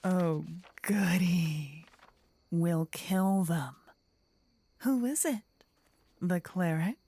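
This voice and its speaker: A woman's voice: fairly low pitch, very slow, dark tone, no echo. A woman voicing a possessive, teasing demon queen in a fantasy ASMR audio roleplay, theatrical and gloating. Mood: scornful, possessive.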